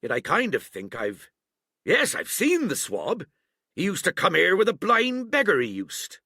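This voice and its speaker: A hearty old one-legged cook, as a man puts him on, blustering. His voice swoops wildly and grinds with gravel. The sound is dry and close, with a bright, husky edge. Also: very animated, measured pace, no echo, heavily acted. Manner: blustering, booming sea-dog bluster.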